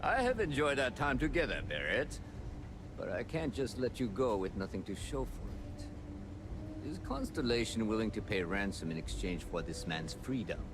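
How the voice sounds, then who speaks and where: An actor playing a courteous male pirate captain in a video game, calm and quietly menacing. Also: fairly low pitch, normal speed, noisy background.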